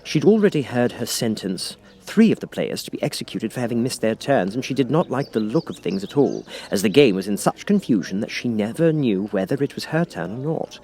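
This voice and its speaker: A man's voice: medium pitch, very animated, brisk, few pauses, darkish tone. A man reading a children's story as a scripted audiobook narration.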